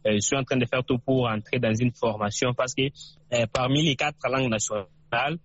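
A man from the DR Congo, a Tshiluba speaker, calling in to a radio show and giving his view slowly, with many hesitations and repeats. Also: mid-range voice, fairly even intonation, slightly rough, no room sound. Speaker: caller. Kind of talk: radio call-in opinion. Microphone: phone line. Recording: dull dry phone call on radio.

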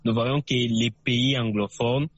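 A man from the DR Congo, a Tshiluba speaker, calling in to a radio show and giving his view slowly, with many hesitations and repeats. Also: mid-range voice, fairly even intonation. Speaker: caller. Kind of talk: radio call-in opinion. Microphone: phone line. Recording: dull dry phone call on radio.

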